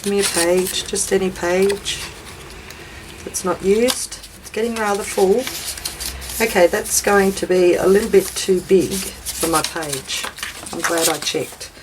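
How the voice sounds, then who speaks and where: An Australian woman with a slightly raspy voice from a chest infection, hosting a casual craft video in a rambling, self-deprecating way as she talks to herself. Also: mid-range voice, a little slow, noisy background. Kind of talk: casual chatty craft demonstration.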